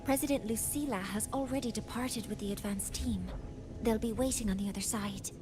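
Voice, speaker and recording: An earnest young female game professor, acted by a woman, with a high voice. She explains things formally and precisely, with clear music playing behind her. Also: brisk, close to an everyday voice. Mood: composed, explanatory. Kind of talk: scripted game dialogue.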